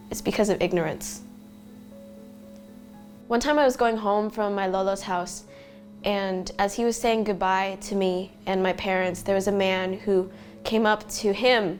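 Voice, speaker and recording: A young woman with a mid-range voice recounts events plainly and matter-of-factly. Faint music sits behind her words. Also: mid-range voice. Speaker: documentary interviewee. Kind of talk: personal account.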